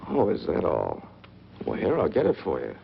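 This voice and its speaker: An actor playing a smooth, calculating fugitive who feigns innocence, in 1940s film noir style. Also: male voice, deep voice, wildly swooping pitch, normal speed, slight background noise, full character voice. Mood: coaxing, menacing. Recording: dull old film soundtrack with music.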